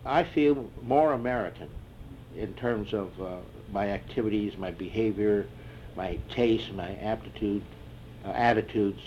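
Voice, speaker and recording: Older guy reminiscing in an interview, lots of uh and restarts, muffled tape hiss. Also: fairly low pitch. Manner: reflective, halting with many fillers and restarts. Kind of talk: oral history interview. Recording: dull lo-fi tape with faint hiss.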